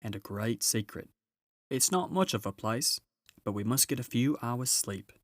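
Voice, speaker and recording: A man voicing an excitable male talking beaver in a children's storybook, exclaiming and calling out. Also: mid-range voice, moderate pace, dry recording, studio quality, close to an everyday voice. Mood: secretive, practical.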